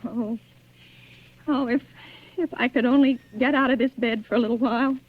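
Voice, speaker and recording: An actress playing a nervous, demanding, self-pitying bedridden wife in an old radio suspense drama, agitated and stammering. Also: female voice, a little high, steady tone, normal speed, heavy background noise, close to an everyday voice. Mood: distraught. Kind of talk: 1940s radio suspense acting. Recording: dull vintage radio recording.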